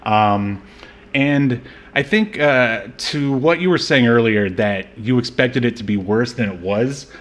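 Guy hosting a movie podcast, regular mid pitch voice, rambly and halting, just chatting. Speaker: podcast host. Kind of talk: casual film discussion podcast.

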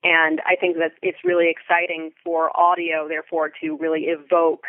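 An American woman being interviewed as a Foley artist, explaining things thoughtfully and in detail, with halting pauses. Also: deep voice, completely flat delivery, no echo, phone-quality sound. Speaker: guest, theatre Foley artist. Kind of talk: interview conversation.

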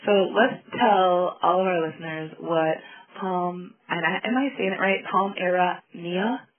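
A woman hosting an interview, hoarse from a cold, friendly and a bit unsure on names. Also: fairly low pitch, a little slow. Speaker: podcast host interviewing a guest. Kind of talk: casual interview. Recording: tinny phone-like line.

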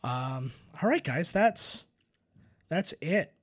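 A man's voice: fairly high pitch, lively intonation, takes it slowly. A man hosting a podcast, chatting casually and recounting lore in a rambling, enthusiastic, sweary way. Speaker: podcast host.

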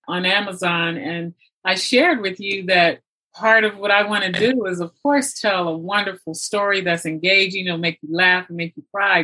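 A woman's voice: lowish voice, pushed and bright, rough recording. A woman hosting a conversational interview in an enthusiastic, affirming manner. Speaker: podcast host and novelist.

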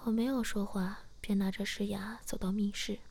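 A woman narrating a story in a soft, steady whisper. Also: mid-range voice, moderate pace, vocal fry. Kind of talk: whispered audio story reading.

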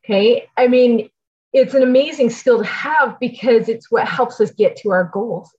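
Higher-pitched American woman, chatty and explanatory. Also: normal speed, darkish tone, lo-fi sound. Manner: chatty, explanatory, often checks in with "okay" and "right". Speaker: podcast host giving homeschool tips. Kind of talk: casual conversational advice podcast.

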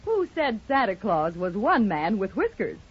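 Woman, vintage radio ad, theatrical, husky, lively intonation, muffled recording. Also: unhurried. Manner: theatrical sales pitch. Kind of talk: 1940s radio advertisement, rhymed copy. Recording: dull old radio recording.

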